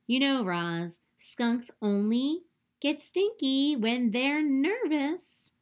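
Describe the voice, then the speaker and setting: A small, hospitable female skunk with a squeaky, eager voice, as a woman puts her on for a storybook reading. She chatters excitedly, pitched noticeably higher and louder than the reader's own voice. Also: very slow, full character voice.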